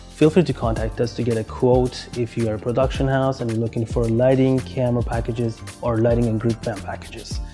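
A man giving a friendly, plain sponsor message for a rental company. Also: warm tone. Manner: friendly, plain sales pitch. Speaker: sponsor, rental house representative.

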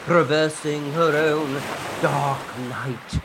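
A man's voice: a little high, extremely slow, background noise. A man hosting a podcast with playful, theatrical banter and storytelling. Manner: animated, theatrical. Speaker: podcast host.

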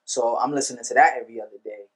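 A man's voice: mid-range voice, very fast, slightly rough. A man, a rapper, talking about his music in a laid-back, easygoing way as a podcast guest. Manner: laid-back, easygoing, lots of "you know". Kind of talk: casual interview chat.

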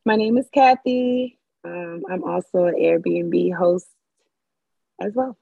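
A woman born in the Dominican Republic and raised in Maryland speaks earnestly on a podcast, pausing on fillers as she thinks. Her voice sits a little low. It comes through thin and tinny, like a phone line, with a dry, close sound. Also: gravelly, husky, no room sound. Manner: earnest, thoughtful, hesitant with fillers. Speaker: guest, Airbnb host. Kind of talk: casual podcast chat.

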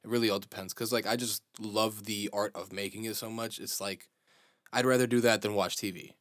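Fast-talking emphatic young man, low voice. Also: steady tone, no echo, studio quality. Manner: emphatic, slangy, addresses listener as dude and man. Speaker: musician and podcast co-host. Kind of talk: casual chat.

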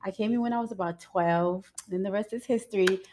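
Woman from Ghana, thirties, chatty podcast guest, nervous giggles, mid-pitched voice. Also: fairly quick, mellow. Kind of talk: faith-based podcast interview.

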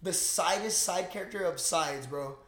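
Podcast co-host, young man, slightly high pitch, loose profane chat, strong room echo. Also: normal speed, fairly bright tone. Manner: slangy, profane, rambling. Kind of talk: casual movie recap chat. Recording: roomy, echoing room sound.

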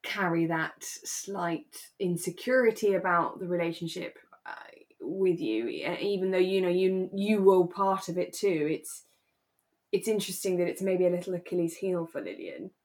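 Low-pitched woman chatting earnestly. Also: normal speed, a little mellow. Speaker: podcast co-host. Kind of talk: casual chat reviewing a radio drama.

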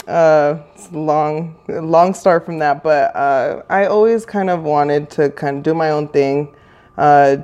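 A woman in her thirties from Norwalk, California, chatting as a guest, full of fillers and quick to laugh. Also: low voice, darkish tone, muffled recording. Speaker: guest, small business owner. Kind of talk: casual interview.

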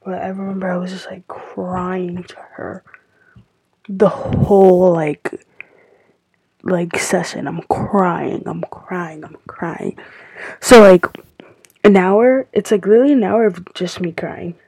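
A teenage girl hosting her own podcast, sounding stuffy and tired, rambling with lots of fillers in a soft, confiding way. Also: fairly low pitch, steady tone, takes it slowly, creaky, husky, no room sound, rough recording. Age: teens. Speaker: podcast host. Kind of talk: casual solo podcast talk.

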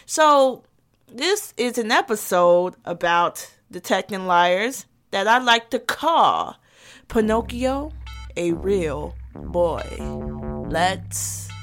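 A woman's voice: mid-range voice, sing-song, very slow, fairly bright tone, faint music behind. A woman vlogger with a lisp, ranting in a sarcastic, sassy way as she gives comic advice. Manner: sassy, sarcastic, heated rants. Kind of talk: comedic advice vlog.

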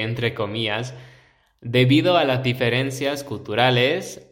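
Unhurried American male podcast host, non-native speaker, thoughtful and conversational. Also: medium pitch. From United States. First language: English. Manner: reflective, explains points in a measured, conversational way. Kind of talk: solo educational podcast.

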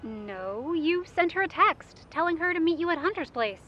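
A woman's voice: very high-pitched, animated, normal speed, faint music behind. A woman playing a casual, matter-of-fact young friend in an audio drama, with a breezy manner. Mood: casual, insistent. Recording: dull, band-limited sound.

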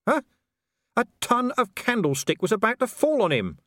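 A man voicing a gruff, exasperated middle-aged commander who talks bluntly and indignantly in an audiobook. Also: extremely high pitch, big pitch swings, moderate pace, fairly bright tone, no echo, clean studio sound, pitched above the performer's own voice, full character voice. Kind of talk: audiobook character voice.

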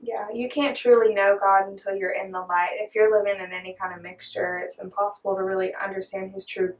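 A woman's voice: mid-range voice, little pitch movement, a little fast. A young woman in her twenties shares her faith in a casual podcast chat. She speaks in a heartfelt, chatty manner. Her voice comes from some distance from the microphone. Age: 20s. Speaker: podcast co-host.

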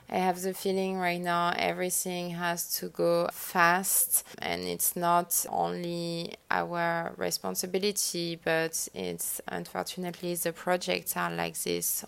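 A woman's voice: lowish voice, creaky, smooth. A woman lighting designer giving a hesitant, reflective interview answer in English, which is not her first language. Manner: hesitant, reflective, with many fillers.

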